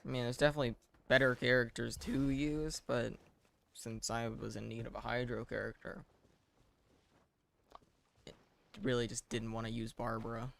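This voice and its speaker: A man streams a video game and talks through it casually as he plays. His mid-pitched voice is full of creak and gravel. He comes across as dry and deadpan, often poking fun at himself. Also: moderate pace, pauses often, a little hiss or hum. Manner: dry, self-deprecating, deadpan. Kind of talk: live gameplay commentary, casual chat.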